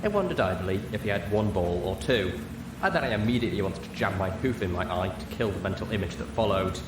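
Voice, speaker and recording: A man reading a story aloud as narrator, in an expressive scripted style. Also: low-pitched, fast talker. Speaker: audiobook narrator. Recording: roomy, echoey, clear background noise.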